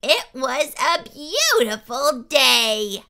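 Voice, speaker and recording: A woman voicing a vain, bossy, mischievous male cat in a squeaky, childlike voice for a storybook. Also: very animated, gravelly, pushed and bright, full character voice. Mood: boastful. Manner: boastful, defiant, drawn-out delivery.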